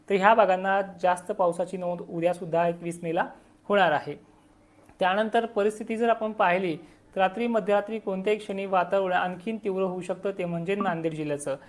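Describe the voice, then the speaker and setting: Marathi woman giving a weather forecast. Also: mid-range voice. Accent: Marathi, Maharashtra. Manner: explanatory, listing districts one after another. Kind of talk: informal spoken weather forecast for farmers.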